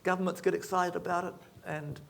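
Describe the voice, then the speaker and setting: A man moderating a panel discussion in an auditorium, brisk and affirming as he puts questions to guests. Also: high voice, level tone, creaky. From Australia. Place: large auditorium.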